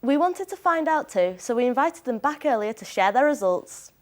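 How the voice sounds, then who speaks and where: A woman's voice: high voice, animated, normal speed. A young woman co-presenting a live student studio show, reading links and asking questions.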